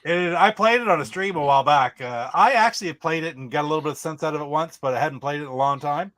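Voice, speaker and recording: A man's voice: on the high side, fast talker, fairly bright tone, no room sound. A man talking casually as a panelist in a group chat show. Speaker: podcast panelist.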